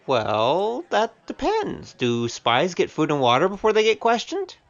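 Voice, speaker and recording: A man's voice: high voice, huge pitch swings, unhurried, gravelly, muffled recording, some chatter behind, slight background noise, full character voice. A man playing a cheeky, easygoing young wandering gambler in an audio drama, with a wry, glib delivery. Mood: glib, teasing. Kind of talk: scripted audio drama acting.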